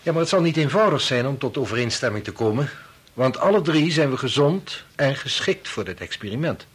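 An actor with a Netherlands Dutch accent playing a decisive, matter-of-fact medical researcher steering a meeting in a radio drama. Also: male voice, medium pitch, expressive, fairly quick, slightly rough. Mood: measured, firm.

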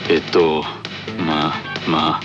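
A man voicing a gruff but deferential, easily flustered teenage boy tennis player in an anime radio drama, speaking in clipped, roughly polite phrases. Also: rumbling low, level tone, drawn-out words, highs cut off, music in the background, voice pushed down, exaggerated voice. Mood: flustered, reluctant.

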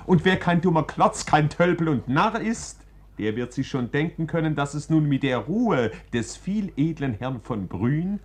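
An actor playing an emphatic, knowing storyteller in a 1940s radio play. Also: male voice, on the high side, expressive, a little slow. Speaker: narrator.

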